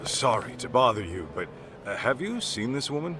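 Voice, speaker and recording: A man's voice: medium pitch, sing-song, moderate pace, noisy background, close to an everyday voice. An actor playing a man who questions people politely and persistently in a game dub. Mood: earnest.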